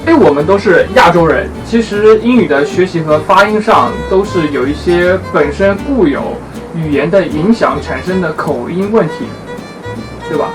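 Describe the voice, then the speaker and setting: A man in his twenties from China with a Chinese accent, hosting a casual talk to camera in careful, halting speech. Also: sits high, big pitch swings, over music. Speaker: host. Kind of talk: casual talk to camera about learning English.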